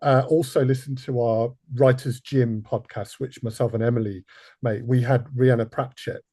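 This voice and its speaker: Male interview host. Soft-edged mellow tone with some rasp, self-deprecating, courteous, slightly halting. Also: mid-range voice, steady tone. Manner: self-deprecating, hesitant, polite. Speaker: podcast host. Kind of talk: casual interview hosting.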